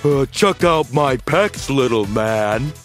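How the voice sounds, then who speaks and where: A man voicing a boastful, muscle-bound young guy who talks loudly. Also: medium pitch, animated, slow, faint music behind, voice pushed down. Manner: boastful, loud.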